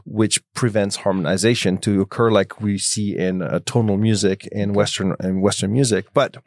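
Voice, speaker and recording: Measured man, fairly low voice. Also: fairly even intonation, darkish tone, dry recording, clean studio sound. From Switzerland, France and Belgium. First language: French. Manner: measured and careful, pausing often with fillers. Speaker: guest, conservatory founder. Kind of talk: explanatory interview talk.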